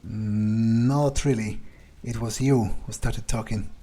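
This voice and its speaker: A man's voice: fairly low pitch, steady tone, takes it slowly, warm tone. A man thinking aloud in character as a cynical vampire during gameplay, hesitant, disdainful and sweary. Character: cynical, scornful male vampire. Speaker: gamer playing in character.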